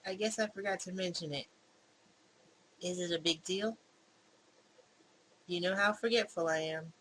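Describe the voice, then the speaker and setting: Defensive, halting young man acted out by a gamer. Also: medium pitch, fairly bright tone, lightly acted, low-quality recording. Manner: stammering, halting protests. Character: defensive, hesitant young man insisting he is real. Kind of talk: visual novel character reading. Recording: clear background noise.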